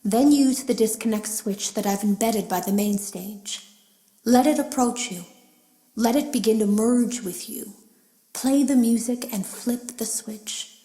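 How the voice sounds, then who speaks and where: An actress voicing a female beta tester who leaves hushed, wary audio logs warning of a hidden threat in a game. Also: a little high, measured pace, reverberant, close to an everyday voice, low-quality recording. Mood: grave, warning. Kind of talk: scripted game voice acting.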